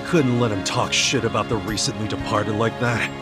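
Game lead: good-hearted street tough played by a man, lowish voice, casual, brash, loud score underneath. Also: fairly even intonation, moderate pace, over loud music, lightly acted. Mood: reassuring, firm. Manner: casual, slangy. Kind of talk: English video game voice acting.

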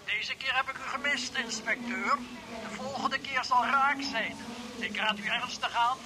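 A menacing anonymous caller in a radio play, speaking deliberately over the telephone. His voice is high for a man, and the old recording is clearly noisy. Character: menacing anonymous male caller making threats. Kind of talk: Dutch radio drama acting.

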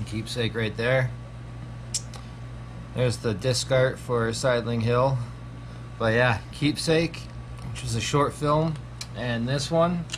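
A man's voice: mid-range voice, a little hiss or hum. A man in his thirties giving a casual, profanity-laced horror movie review from a small home room, with lots of ums. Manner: laid-back, swears constantly, frequent ums.

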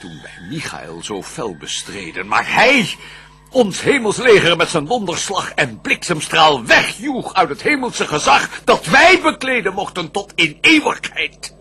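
An actor playing a proud, scheming fallen angel out for revenge, declaiming raspy verse in a radio drama. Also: male voice, sits high, very animated, measured pace, pushed and bright, heavily acted. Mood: bitter, vengeful. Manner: declamatory, grandiose.

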